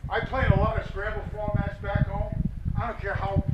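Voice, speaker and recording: A man's voice: high-pitched, little pitch movement, brisk, echoey room. A man from Rhode Island with a Rhode Island accent, a golf instructor giving a chatty, joking clinic outdoors. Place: outdoors at a golf practice area. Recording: distant, muffled, noisy outdoor recording.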